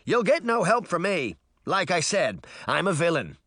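A man's voice: medium pitch, sing-song, unhurried, creaky, pushed and bright, no room sound, studio quality, exaggerated voice. A man voicing a boastful, indignant fairy trickster boy in a theatrical, crowing way for an audiobook. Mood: taunting. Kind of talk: audiobook character voice.

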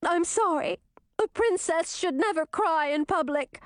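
Woman as a very high, dramatic princess. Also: very animated, normal speed, no room sound, clean studio sound. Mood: wounded. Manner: indignant, spluttering, blurting. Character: vain, jealous, dramatic young princess.

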